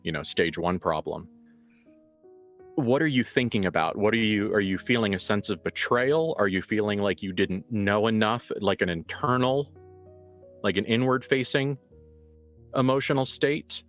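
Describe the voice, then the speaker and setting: A man's voice: lowish voice, moderate pace. A man speaking as a game master, improvising in a wordy, searching way and putting probing questions to players. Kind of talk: improvised tabletop game discussion. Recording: slightly dull audio, music behind. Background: music.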